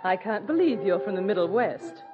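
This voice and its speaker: An actress playing a sharp-tongued young society woman, politely needling, in a 1940s radio drama. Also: mid-range voice, expressive, moderate pace, lightly acted. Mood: arch, amused. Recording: dull 1940s radio recording with music.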